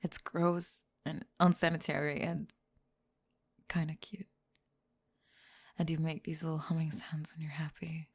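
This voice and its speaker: Goth bully girl for ASMR roleplay , female performer: prickly, flustered, halting, secretly smitten, husky with some fry. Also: low-pitched, little pitch movement, pitched below the performer's own voice, full character voice. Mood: grudgingly smitten. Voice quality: breathy. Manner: tsundere, defensive, halting, backtracking.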